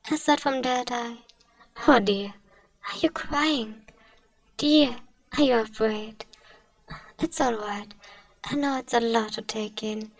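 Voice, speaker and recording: A woman playing a gentle, wealthy, possessive vampire in a soothing, genteel ASMR roleplay. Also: a little high, takes it slowly, gravelly, pauses often, dry recording, distant mic, heavily acted, rough recording. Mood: reassuring, genteel, then tender, comforting. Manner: soothing, genteel, addresses listener as dear. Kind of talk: ASMR roleplay, soft scripted acting.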